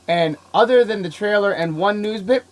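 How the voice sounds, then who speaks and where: A man hosting a casual podcast, sick and coughing, talking in an excitable, rambling way and laughing often. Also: sits high, moderate pace. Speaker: podcast host. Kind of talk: casual chat.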